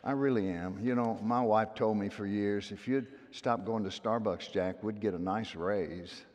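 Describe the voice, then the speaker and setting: A pastor preaching a sermon in a folksy, storytelling way, his loud voice dropping to hushed asides and then rising to emphatic peaks. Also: lowish voice, normal speed, highs cut off. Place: church sanctuary. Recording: long hall reverb.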